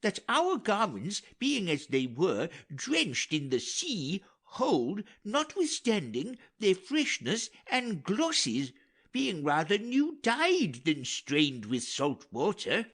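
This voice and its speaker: A man's voice: high-pitched, huge pitch swings, slow pace, a bit bright, slightly rough, voice pushed up, full character voice. A man voicing a talkative, optimistic old royal counsellor in an earnest, wordy Shakespearean audiobook reading. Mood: marvelling.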